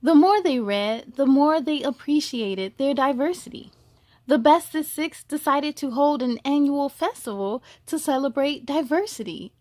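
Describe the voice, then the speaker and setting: A woman reading a children's story aloud as host, expressive and exclamatory, speaking to young listeners. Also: sits high, dry recording. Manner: slow, deliberate, exclamatory delivery for children.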